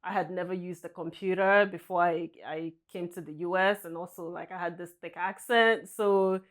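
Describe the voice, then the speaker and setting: A woman from Nigeria answering interview questions as a guest, soft-spoken and hesitant, often laughing lightly. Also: fairly low pitch, fairly even intonation, normal speed. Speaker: guest, senior vice president.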